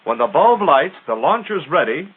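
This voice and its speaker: High-pitched American man, vintage film narrator. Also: sing-song, moderate pace, breathy edge. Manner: punchy, emphatic, instructional. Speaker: military training film narrator. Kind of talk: 1940s military training film narration. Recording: tinny narrow-band 1940s film soundtrack.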